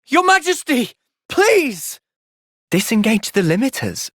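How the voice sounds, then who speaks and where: Earnest young soldier, expressive and dry-recorded. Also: male voice, very high voice, slow pace, no room sound, heavily acted. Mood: urgent, shouting. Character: earnest, thoughtful young male soldier and party leader. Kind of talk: video game cutscene acting.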